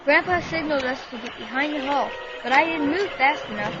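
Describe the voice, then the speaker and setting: Lady doing a high voiced young boy telling a scary adventure story, earnest, muffled old audio with music. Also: a little fast, natural voice. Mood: frightened, urgent. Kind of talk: dramatic story narration. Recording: dull lo-fi recording, music behind.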